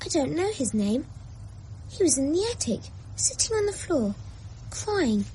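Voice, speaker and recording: A woman's voice: sits high, sing-song, a little slow, warm tone, low-quality recording. A woman voicing a lonely, imaginative young boy in a radio drama, talking in a plaintive, childlike way. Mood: bored. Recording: old radio play with faint hiss.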